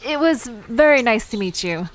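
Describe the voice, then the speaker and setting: A woman playing a curious, friendly young park visitor in an audio drama, inquisitive and expressive in a conversational way. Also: fairly high pitch, moderate pace, natural voice, low-quality recording.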